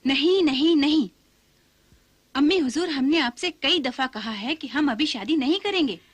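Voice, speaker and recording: A woman's voice: high-pitched, expressive, normal speed, a bit bright, no room sound. An actress playing a proud, headstrong, romantic young noblewoman in a period serial, in a dramatic, courtly manner. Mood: defiant, pleading. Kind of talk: formal Urdu-flavoured period serial acting.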